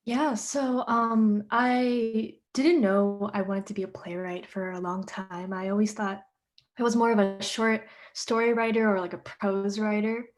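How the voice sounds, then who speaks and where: A woman's voice: medium pitch, steady tone, a little slow. A teenage girl, a playwright guest on a panel, answering questions earnestly with many fillers over a video call. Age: teens.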